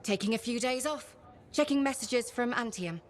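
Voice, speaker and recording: A woman's voice: fairly high pitch, normal speed, natural voice. Woman doing a witty scholar type in a game, dry humor, thoughtful.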